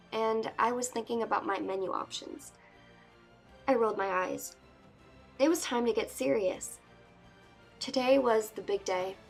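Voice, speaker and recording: A woman's voice: mid-range voice, lightly acted. Woman reading as wry, anxious teenage girl. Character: sardonic, anxious teenage girl, fantasy princess-to-be, narrating. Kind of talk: first-person dramatic book reading.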